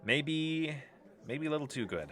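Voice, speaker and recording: A man's voice: fairly high pitch, lively intonation, measured pace, fairly bright tone. A man playing an easygoing, wry leader of a biker crew in a comedic audio drama.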